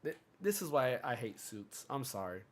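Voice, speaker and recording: A man hosting a casual gaming podcast, chatty and rambling, a bit hoarse from a cold. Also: medium pitch, very animated, normal speed. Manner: chatty, rambling, self-interrupting. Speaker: podcast host.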